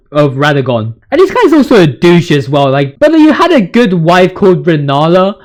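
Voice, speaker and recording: A man giving casual, opinionated gaming commentary as a video host, ranting in slang with emphatic repetition. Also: high-pitched.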